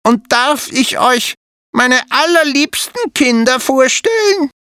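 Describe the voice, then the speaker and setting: A narrator putting on a raspy mother spider. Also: sits high, lively intonation, slow, sharp tone, no echo, studio quality, voice pushed up, heavily acted. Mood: proud.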